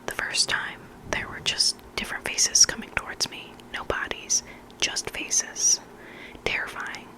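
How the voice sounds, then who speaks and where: A hushed, breathy whisper reading stories slowly and deliberately in ASMR style, close to the microphone. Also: low-quality recording. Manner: slow, deliberate, hushed, with repeated trigger words. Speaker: host reading listener horror stories.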